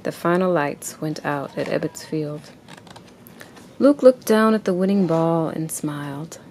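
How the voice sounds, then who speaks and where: A woman reading a picture book aloud to a child at home and asking instructive questions in a deliberate way. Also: low voice, expressive, darkish tone, a little hiss or hum. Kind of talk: expressive read-aloud and gentle questioning.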